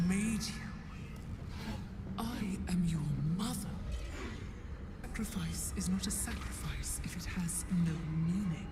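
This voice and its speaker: A cold dark goddess in a video game, commanding and possessive. Her words come slowly and solemnly in a heavy echo, with music underneath. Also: mid-range voice. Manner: slow, solemn, imperious. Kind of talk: dramatic video game acting.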